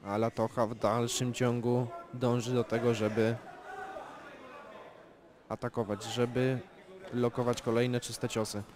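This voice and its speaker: A man calls a live amateur boxing match in a calm, analytical way, naming each punch. His voice stays flat and even, with little rise or fall. A loud crowd fills the venue behind him. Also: mid-range voice. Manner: calm, measured play-by-play with technical analysis. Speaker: boxing commentator. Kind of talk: live sports commentary.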